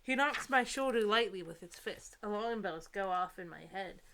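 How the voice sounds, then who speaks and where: A young woman with a bright, forward voice at an average pitch for a woman. She reads book excerpts aloud casually and comedically, dropping in incredulous, giggly commentary as she goes. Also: expressive, normal speed, slight background noise.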